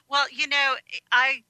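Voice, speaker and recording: A woman's voice: high-pitched, flat delivery, slow, bright, forceful tone, dry recording, low-quality recording. A woman with an American accent giving friendly, reflective, conversational answers as a radio guest. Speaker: radio guest, life coach.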